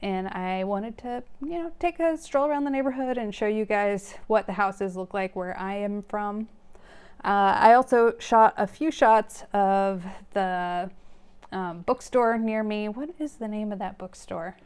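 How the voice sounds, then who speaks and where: A woman's voice: mid-range voice, unhurried, darkish tone, clean tone. A woman hosting her own knitting vlog, chatting casually and directly to her viewers in a rambling way, with plenty of fillers and small laughs. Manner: friendly direct address to viewers. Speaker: knitting vlogger, host. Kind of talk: casual chat to camera.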